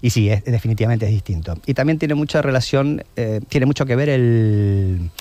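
An Argentine man in his fifties, a long-distance swimmer answering interview questions in a thoughtful, measured way, often closing points with a questioning tag. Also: mid-range voice, mellow, no room sound. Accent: Argentine Spanish.

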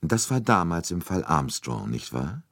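A man voicing a shrewd, courteous gentleman detective, calm, deliberate and soothing, with bits of French, in an audiobook story. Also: deep voice, gravelly, breathy edge, dry recording, clean studio sound, pitched above the performer's own voice, full character voice. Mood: calm, probing.

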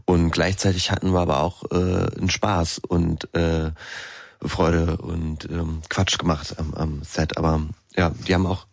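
An actor, a man with a very low voice, gives casual interview answers. He halts to search for words. The sound is dry, with no room echo. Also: little pitch movement, unhurried, gravelly. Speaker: actor interviewed about his film.

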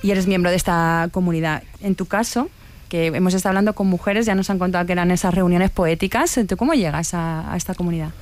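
A woman's voice: fairly low pitch, fast, darkish tone. Spanish woman hosting a radio interview. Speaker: radio host interviewing guests. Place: radio studio.

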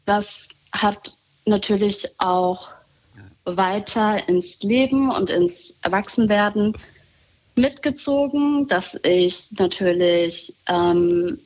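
A woman talking casually on a call, reflective and soft-spoken, often laughing lightly. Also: medium pitch, slow pace, muffled recording. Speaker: guest.

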